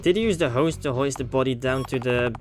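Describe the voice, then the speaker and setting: A man's voice: medium pitch, brisk, soft background music. A man hosting a gaming video, playfully thinking aloud and exclaiming as he comments casually on the playthrough.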